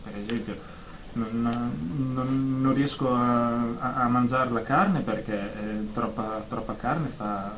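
A man's voice: medium pitch, fairly even intonation, slow pace, fairly bright tone. An actor playing an anxious, phobic male therapy patient in a radio drama, stammering hesitantly and repeating words. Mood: nervous, hesitant. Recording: roomy echo, faint background noise.

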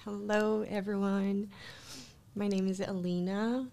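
A woman with a Russian accent and a noticeably creaky voice at a middling pitch. She talks casually about herself as a podcast guest, close to a studio mic. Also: little pitch movement, slow, mellow. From Russia, born in Kazakhstan. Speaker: life coach, podcast guest. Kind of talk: casual interview, telling personal story. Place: small podcast studio, indoors. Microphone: close studio microphone, headphones.

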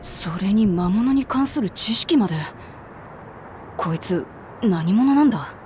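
An actress playing a bold young lion-woman warrior in a video game, with blunt, tomboyish, rough casual speech. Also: medium pitch, animated, measured pace, a little mellow, a little hiss or hum, natural voice. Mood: puzzled. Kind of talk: video game cutscene acting.

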